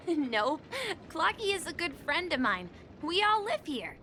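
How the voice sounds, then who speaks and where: A woman's voice: high voice, sing-song, takes it slowly, sharp tone, slight background noise, full character voice. A woman voicing a polite, eager, innocent young boy bellboy in a video game, earnest and slightly hesitant. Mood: friendly, earnest.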